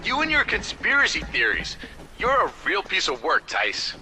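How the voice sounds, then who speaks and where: A wisecracking mercenary with a high voice. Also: male voice, huge pitch swings, moderate pace, over music, poor audio. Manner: joking, complaining, sarcastic. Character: wisecracking male mercenary soldier. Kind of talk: video game cutscene acting.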